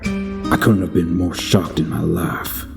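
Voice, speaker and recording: Male actor as grizzled cowboy bounty hunter, low voice, laconic, wry, audio drama narration, music underneath. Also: flat delivery, slow pace, creaky, heavily acted. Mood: grim, tense. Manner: laconic, wry, tough-talking.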